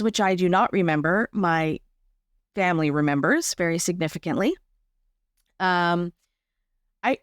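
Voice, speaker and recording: A woman's voice: medium pitch, clear, resonant tone, no room sound. Candid woman, dry close recording. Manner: candid, wry. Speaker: podcast guest. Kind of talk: conversational personal account.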